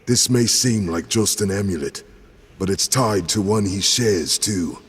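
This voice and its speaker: A man acting in an audio drama as a steady, knowing guide and loyal companion, deliberate and reassuring. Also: fairly low pitch, breathy edge. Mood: assured. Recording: long reverb, faint ambient noise.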